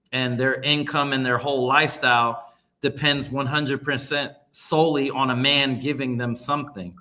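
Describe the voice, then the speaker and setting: Man from Colombia, casual rambler, forceful bright voice. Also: medium pitch. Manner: rambling, lots of "like" and "you know". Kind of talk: casual opinion chat.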